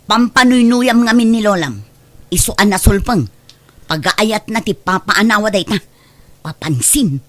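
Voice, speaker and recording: A woman's voice: mid-range voice, measured pace, natural voice. A woman playing a tired, impatient working mother who scolds and complains in a radio drama. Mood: exasperated, scolding.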